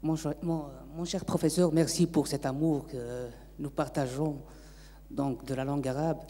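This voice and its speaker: Man, native Arabic speaker, question from audience, mellow voice, echoey hall. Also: a little high, steady tone, moderate pace. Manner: earnest, hesitant with fillers. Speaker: audience member and evening-course teacher. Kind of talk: question from the floor. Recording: long reverb of a lecture hall.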